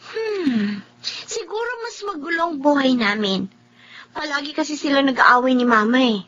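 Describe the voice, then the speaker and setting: Prangkang batang kaibigan, matinis. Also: female voice, high voice, lively intonation, normal speed, a bit bright. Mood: resentful. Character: frank young schoolmate, resentful of absent father. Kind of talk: Filipino radio drama acting. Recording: dull, muffled audio.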